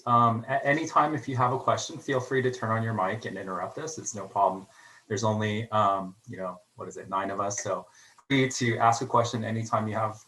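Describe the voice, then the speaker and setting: A man from a college's international office presents an online information session for new students. He speaks in a friendly way and fills his pauses with "um" and "uh" over a video call. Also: on the low side, fairly bright tone, poor audio. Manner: friendly, filler-heavy delivery with frequent "um" and "uh". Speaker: international student services staff member.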